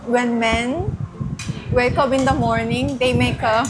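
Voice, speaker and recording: A woman's voice: fairly high pitch, steady tone, slow, heavy background noise. A young Korean woman with a Korean accent, chatting through a food tour for her travel vlog, laughing often. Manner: bubbly, laughs often, switches between English and Korean. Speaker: travel vlogger. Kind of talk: casual food vlog chat.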